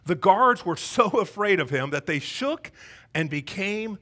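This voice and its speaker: A man in his thirties with a Southern American accent, preaching an energetic, joking Easter sermon on a church stage. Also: sits high, unhurried. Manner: energetic, joking, paces the stage with wide gestures. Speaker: pastor. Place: church stage before a congregation.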